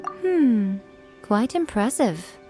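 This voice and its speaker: A woman's voice: fairly high pitch, very animated, very slow, mellow, faint music behind, exaggerated voice. A woman voicing a poised, playful shrine priestess in a video game, teasing and formal. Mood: amused, composed. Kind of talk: animated video game voice acting.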